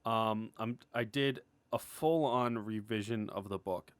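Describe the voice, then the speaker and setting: A man's voice: fairly low pitch, a little slow, clear, resonant tone, dry recording. Casual, enthusiastic man in a dry recording. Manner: enthusiastic, gushing; rambling, informal, heavy use of "like". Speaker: indie animator, writer and series creator. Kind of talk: casual, unscripted update vlog.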